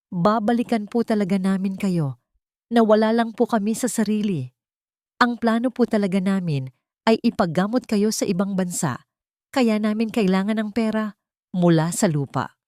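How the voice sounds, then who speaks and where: A woman's voice: medium pitch, normal speed, long pauses, no room sound, studio quality, pitched above the performer's own voice, natural voice. A woman voicing a deceitful, intense daughter-in-law in a dramatic audio story. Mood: tearful pleading. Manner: wailing pleas, sharp threats. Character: pleading, tearful, deceitful daughter-in-law turned venomous.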